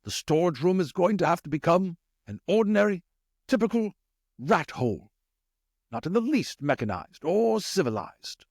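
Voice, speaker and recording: A man voicing a weary, commanding rat leader in a storybook, giving deliberate, urgent orders. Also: high-pitched, very animated, a little mellow, dry recording, clean studio sound, voice pushed up, full character voice.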